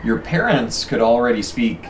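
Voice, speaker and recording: A man's voice: mid-range voice, animated, moderate pace. A man hosting a casual interview in an encouraging manner, reacting with interest to the answers. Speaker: interviewer, host. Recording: roomy, distant mic, ambient noise.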